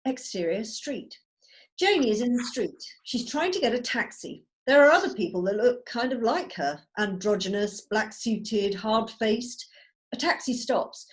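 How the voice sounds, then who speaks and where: A woman's voice: a little high, lively intonation, measured pace. A woman narrating scripted stage directions at a drama table read held over a video call.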